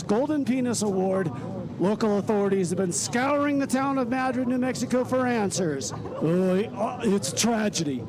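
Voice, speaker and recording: A man's voice: extremely high pitch, rough recording. A man playing a folksy, mock-grave news reporter with an announcer's delivery in a comedy radio play. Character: folksy male TV news reporter on a crime scene.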